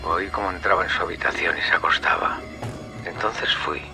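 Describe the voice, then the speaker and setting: An actor with an accent from Spain playing a calm serial killer who recounts his crimes in a measured, sardonic way, in a radio drama. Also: low-pitched, gravelly, over music. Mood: cold, brooding. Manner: measured, coldly matter-of-fact, darkly sardonic. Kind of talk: Spanish radio drama acting.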